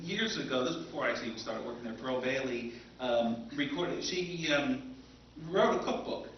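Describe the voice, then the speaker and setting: A man moderating an informal live interview, speaking in a halting, hesitant way. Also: mid-range voice, animated. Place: convention seminar room. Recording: distant, echoey hall, dull, hiss.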